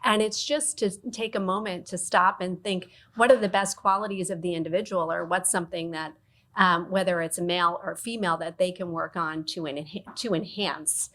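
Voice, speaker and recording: A woman speaking on a business panel as a leader who talks about mentoring, thoughtful and measured with frequent restarts. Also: fairly low pitch, steady tone, a bit bright. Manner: thoughtful, restarts often, coaching tone. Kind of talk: panel discussion.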